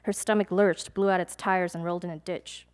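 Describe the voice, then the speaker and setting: A young adult woman, a poet, reading her poems aloud at a live event, measured and deliberate, with chatty, hesitant asides between pieces. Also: on the low side, little pitch movement. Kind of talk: poetry reading with casual asides to an audience.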